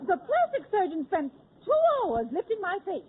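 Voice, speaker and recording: Huffy high-voiced woman on old radio. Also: big pitch swings, normal speed. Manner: indignant, huffy. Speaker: comic guest. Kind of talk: 1940s radio comedy. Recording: hissy old radio broadcast, narrow band.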